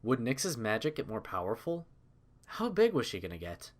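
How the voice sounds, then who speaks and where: A man reading scripted story narration in a measured, dramatic manner. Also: mid-range voice, wildly swooping pitch.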